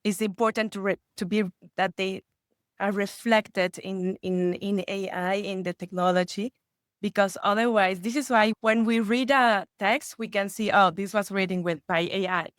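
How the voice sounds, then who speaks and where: Woman, earnest podcast guest, mid pitch, dry close sound. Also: normal speed, no room sound. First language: Spanish. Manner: earnest, with frequent restarts and rising excitement. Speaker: guest expert. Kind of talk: conversational podcast interview.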